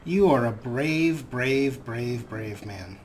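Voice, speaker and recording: Let's play host, male, mid-pitched, wry and deliberating, clear game noise behind him. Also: animated, slow. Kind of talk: gaming commentary in third person about his character.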